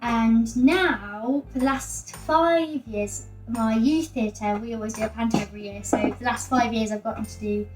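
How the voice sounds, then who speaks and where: A woman's voice: on the high side, moderate pace, hardly any pauses. A young woman from England chatting casually as an amateur baker while she cooks. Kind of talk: casual chat while baking. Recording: roomy, distant mic.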